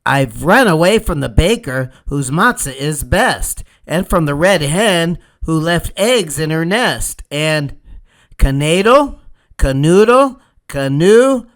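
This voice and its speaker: A man's voice: a little high, expressive, slow. A man voicing a cheeky, boastful little storybook matzah man who taunts in sing-song rhymes. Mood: taunting.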